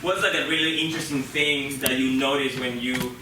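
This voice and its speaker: A man from Peru hosting a casual live interview on stage, speaking informally and haltingly, often repeating words as he puts his questions. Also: medium pitch, steady tone. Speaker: host interviewing a guest on stage. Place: live show venue with an audience of about fifty. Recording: roomy echo, distant mic, loud background noise.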